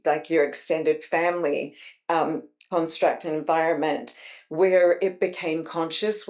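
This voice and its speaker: A woman with a low-pitched voice hosts the interview. She reacts with enthusiasm and then asks long, winding questions, restarting often and filling the gaps with ums. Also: darkish tone. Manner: enthusiastic, rambling questions with fillers. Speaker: host.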